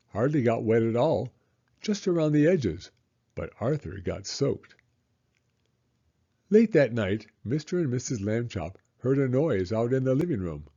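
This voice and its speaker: Mellow, lively male children's storyteller. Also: mid-range voice, lo-fi sound. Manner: expressive, acts out dialogue. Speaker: storyteller reading a children's book aloud. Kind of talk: scripted narration, children's read-aloud.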